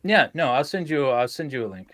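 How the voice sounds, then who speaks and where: A man's voice: medium pitch, lively intonation, a little fast, a bit bright, dry recording. A man in a casual livestream panel chat, talking informally and matter-of-factly.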